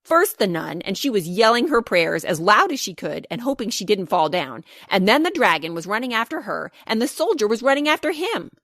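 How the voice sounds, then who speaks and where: Lady doing an excited little boy telling a dragon story, super swoopy and dramatic, dry sound. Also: mid-range voice, a little fast, hardly any pauses, no room sound. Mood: thrilled. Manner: dramatic, emphatic, building suspense.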